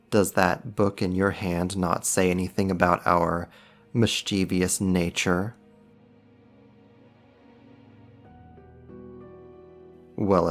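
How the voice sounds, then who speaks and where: Man voicing a deep, slow, mocking demon. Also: creaky, a bit bright, full character voice. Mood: mock servile, teasing. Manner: sarcastic, teasing, drawn-out mock politeness. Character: powerful male demon, sly, mocking, condescending. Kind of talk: ASMR roleplay, slow scripted monologue to the listener.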